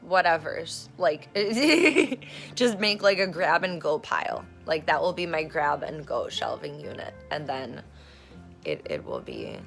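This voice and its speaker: A woman vlogging at home on her phone, her voice hoarse and rough, chatting in a meandering way with lots of fillers and casual swearing. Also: low voice, big pitch swings, unhurried, fairly bright tone, faint music behind. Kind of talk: casual rambling vlog chat. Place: at home, in a room being turned into an office. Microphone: phone camera recording herself.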